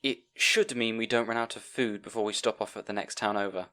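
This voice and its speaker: A man's voice: on the low side, fairly even intonation, brisk, fairly bright tone, smooth, lightly acted. A man playing a timid, bookish young scribe in a fantasy audio roleplay, hesitant and apologetic, rambling and stammering. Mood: anxious, rambling. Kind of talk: audio roleplay acting addressed to the listener.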